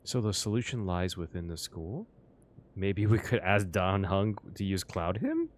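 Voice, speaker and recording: A man's voice: low-pitched, sing-song, a little slow. A man giving casual, profane, slangy reaction commentary as he plays a game. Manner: slangy, blunt, reacting aloud. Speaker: gaming reaction streamer.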